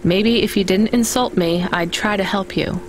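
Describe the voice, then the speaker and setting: A woman's voice: medium pitch, moderate pace, a little hiss or hum, lightly acted. A woman playing a wry, conscientious young delivery driver in naturalistic, conversational video game dialogue.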